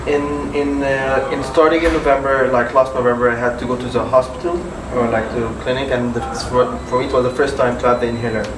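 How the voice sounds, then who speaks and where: A man's voice: mid-range voice, flat delivery, fast, hardly any pauses. Egyptian guest, hesitant man, far from the mic, echoey room with hum. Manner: hesitant, many fillers. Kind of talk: casual conversation. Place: office contact centre. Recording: roomy, distant, background hum.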